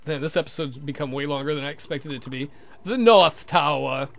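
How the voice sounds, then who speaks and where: A man's voice: fairly high pitch, fairly quick, creaky. A man hosting a let's play, reading a visual novel aloud with flippant asides and stumbling over words. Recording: music behind the voice.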